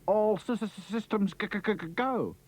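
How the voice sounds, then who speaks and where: A man's voice: high voice, moderate pace, pitched above the performer's own voice. A man voicing a timid male toy with a heavy stammer. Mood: eager.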